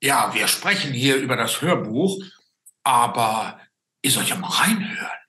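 A man in his fifties hosts a casual online chat from a roomy-sounding space at home. His delivery is slow and hesitant, with a medium-pitched voice. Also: sing-song, bright, forceful tone. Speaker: interview host. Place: indoors at home, small room. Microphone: video call. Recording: roomy, echoey video-call sound.

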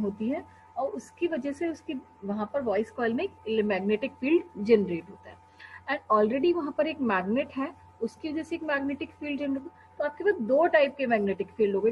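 A young woman with an Indian accent gives an explanatory lecture as a teacher, checking in with short tag words as she goes. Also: sits high. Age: young adult. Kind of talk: explanatory classroom lecture mixing Hindi and English. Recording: dull lo-fi webcam audio with faint hum.

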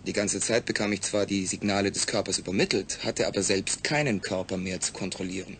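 A man acting in a German audio drama as a calm, rational astronaut, speaking in a measured, explanatory way. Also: low-pitched, slight background noise. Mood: earnest, explaining.

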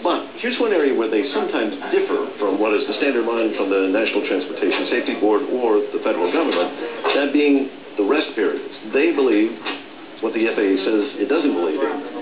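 A man's voice: mid-range voice, expressive, fairly quick, over a noisy crowd. Middle-aged American newsman, dull roomy recording. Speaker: TV news correspondent. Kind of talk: news reporting, narration and live report. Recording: dull roomy TV sound filmed off screen, noisy.

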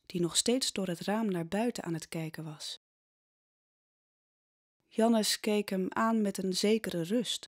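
A woman narrating a scripted radio drama in a measured, suspenseful storytelling manner. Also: mid-range voice, dry recording, clean studio sound. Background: faint music.

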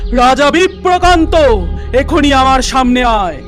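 High-pitched, vengeful sorceress. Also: male voice, lively intonation, fairly bright tone, soft background music, exaggerated voice. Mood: menacing, demanding. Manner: commanding, threatening, drawn-out delivery. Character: vengeful, menacing female tantric sorceress. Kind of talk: animated fairy-tale villain acting.

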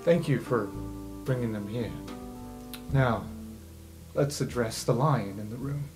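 Man playing a dry, measured professor mage. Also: mid-range voice, close to an everyday voice. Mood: calmly stern. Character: composed, dry professor mage, quietly stern. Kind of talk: improvised tabletop roleplay.

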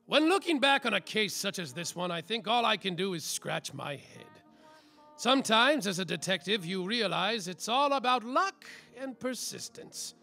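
Man playing a swooping, gravelly, boastful noir private detective. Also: high-pitched, pushed and bright, heavily acted. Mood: boastful, theatrical. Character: semi-sober, world-weary, boastful male private detective. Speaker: detective narrator. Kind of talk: mock-noir radio play narration.

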